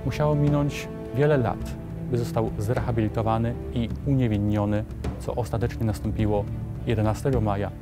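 A man's voice: lowish voice, fairly even intonation, fairly quick. A man, giving formal documentary commentary. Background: music.

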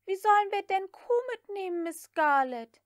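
Audiobook part: high-voiced, timid maid girl acted by a woman reader, protesting stubbornly in broken grammar. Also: expressive, moderate pace, dry recording, studio quality. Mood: anxious protest. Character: frightened, whining, stubborn young maid girl. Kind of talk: audiobook character acting.

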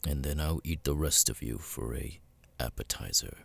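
A man voicing a cold, arrogant immortal demon lord in an ASMR roleplay, speaking with deliberate, condescending menace. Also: full character voice. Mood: cold threatening. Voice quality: very deep, gravelly, husky. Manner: slow, deliberate, condescending, with long pauses.

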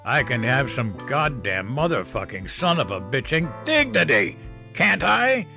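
A man's voice: medium pitch, animated, measured pace, over music, close to an everyday voice. A male narrator voicing a drunk, bitter, out-of-work father who slurs and growls. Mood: growling. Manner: slurring, growling, sneering. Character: drunk bitter unemployed father, middle-aged man.

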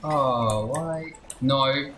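A game streamer giving casual, excitable live commentary full of muttered repeats and exclamations. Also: mid-range voice, drawn-out words, echoey room, over music.

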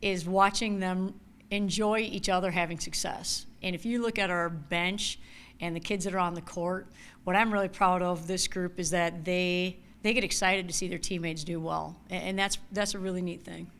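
A woman in her forties, a head coach, answering questions at a postgame press conference in a measured, analytical way into a table mic. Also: on the low side, fairly even intonation, a bit bright, slight background noise. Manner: measured, analytical, repeats key words. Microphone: table mic in front.

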